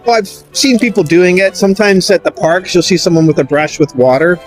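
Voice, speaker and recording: A man's voice: sits high, fairly quick, faint music behind. An older man from Canada, a lawyer near retirement, answering street interview questions in a friendly, easygoing way. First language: English. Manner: friendly, relaxed, repeats words when starting. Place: outdoors on a city street.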